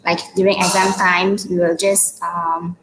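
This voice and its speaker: A woman's voice: lowish voice, little pitch movement, unhurried. A young woman with a Malaysian accent whose first language is Mandarin, answering interview questions casually with many fillers and restarts. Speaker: former student guest. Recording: echoey room with faint noise.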